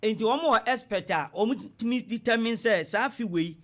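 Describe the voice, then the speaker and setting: Male Ghanaian expert guest for a radio interview, high voice, explains point by point with teacherly emphasis. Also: animated, normal speed. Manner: didactic, emphatic, step-by-step explaining. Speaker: economics lecturer, studio guest.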